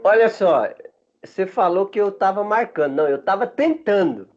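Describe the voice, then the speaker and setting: A man in his fifties from Minas Gerais with a Minas Gerais Brazilian accent, telling stories as a former footballer in a relaxed interview, chatty and often laughing between halting restarts. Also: high-pitched. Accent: Minas Gerais Brazilian Portuguese. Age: 50s. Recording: dull lo-fi sound.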